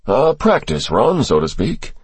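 A man voicing an assured male intelligence operative in an audiobook thriller, speaking in a measured, collegial way without hesitation. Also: fairly low pitch, huge pitch swings, husky, no echo, pitched above the performer's own voice, exaggerated voice.

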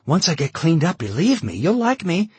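A man's voice: fairly high pitch, wildly swooping pitch, normal speed, darkish tone, slightly rough, no room sound, pitched above the performer's own voice, exaggerated voice. A man voicing a hungry refugee woman who pleads with a soldier and nears hysteria. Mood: pleading. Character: desperate, hungry, sobbing young woman refugee.

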